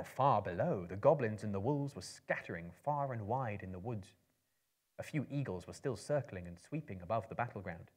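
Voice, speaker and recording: A man in his thirties giving a measured, theatrical reading of a story as a performer. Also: lowish voice. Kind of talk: dramatic literary reading to a live audience. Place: indoor book-lined room, seated in an armchair.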